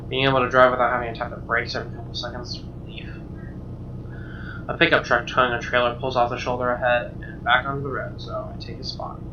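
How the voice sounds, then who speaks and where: A man's voice: medium pitch, little pitch movement, quick pace, background noise. A man reading visual novel narration aloud as a streamer, conversational, occasionally stumbling, with casual asides. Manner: conversational, stumbles over read lines. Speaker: let's play streamer reading game narration. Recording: muffled, distant mic. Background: guitar music.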